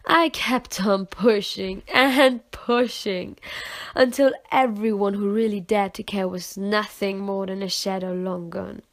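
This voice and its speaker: A young woman recites slam poetry at a slow, deliberate pace. Her medium-pitched voice often frays into creak. The delivery is earnest and emotional, with dramatic shifts between hushed and forceful phrasing. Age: 20s. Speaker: amateur poet.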